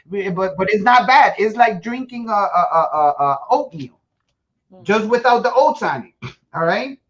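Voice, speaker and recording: A man from Guatemala chats with his livestream viewers in a loose, boastful, joking way. He restarts his sentences and trips over words as he goes. The audio is a little dull and muffled. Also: sits high. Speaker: survival-prep livestream host. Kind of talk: casual live-stream chat to viewers.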